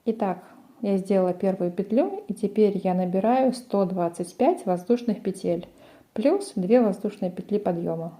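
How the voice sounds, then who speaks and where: A woman's voice: medium pitch, moderate pace, mellow. A woman presenting a crochet tutorial, explaining each step in a methodical way. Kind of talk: step-by-step craft instruction.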